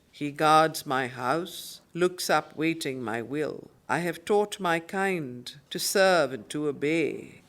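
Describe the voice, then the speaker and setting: A woman with an Indian English accent facilitating a study circle, reciting poetry and commenting on it in a deliberate, emphatic manner. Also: low-pitched, a bit bright. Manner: deliberate, emphatic, repeats phrases.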